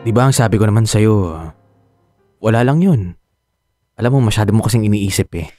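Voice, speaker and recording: An actor as a mellow, reassuring young Filipino boyfriend. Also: male voice, lowish voice, brisk, soft background music, close to an everyday voice. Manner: reassuring, firm. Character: steady young boyfriend, reassuring yet resigned. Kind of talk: radio drama dialogue.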